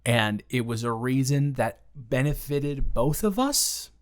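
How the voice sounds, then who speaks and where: A man playing an awkward, considerate ex-boyfriend in an improvised audio roleplay, speaking conversationally in a halting, stammering way. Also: mid-range voice, animated. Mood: earnest, caring. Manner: hesitant, halting, stammering, with nervous chuckles. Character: awkward, considerate young ex-boyfriend, nervously teasing.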